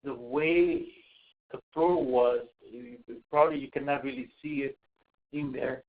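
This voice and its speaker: A man's voice: medium pitch, fairly even intonation, a little slow. A man from Costa Rica giving a webinar talk on home visits, hesitant, with many fillers and restarts. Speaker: asthma program home visitor. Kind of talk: webinar presentation. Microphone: webinar call mic. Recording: tinny phone-like webinar audio.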